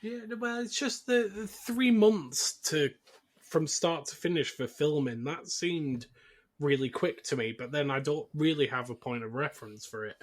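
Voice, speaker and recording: Higher-voiced man hosting a casual podcast. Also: normal speed. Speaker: podcast host. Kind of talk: casual film discussion podcast.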